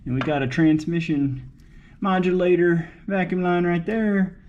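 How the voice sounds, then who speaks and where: A man's voice: high-pitched, steady tone, measured pace, a little mellow, dull audio. A man talking casually to himself as he works on a car, thinking aloud as a home mechanic video host, with noise behind him. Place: working at a car, single uncut recording.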